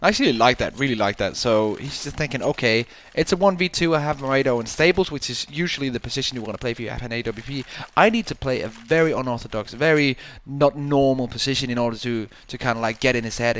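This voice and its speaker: A man doing color commentary on a competitive shooter match, getting loud and high at big plays. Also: quick pace, few pauses, a little hiss or hum. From Denmark. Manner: excitable, rises sharply in pitch at big plays. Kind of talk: esports commentary.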